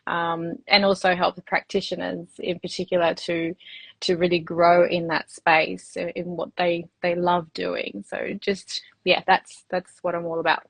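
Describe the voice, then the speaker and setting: A woman's voice: on the low side, flat delivery, unhurried, vocal fry, fairly bright tone. An Australian woman who owns a women's health practice, talking earnestly in a casual live-stream interview, with many restarts and fillers. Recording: video call, remote.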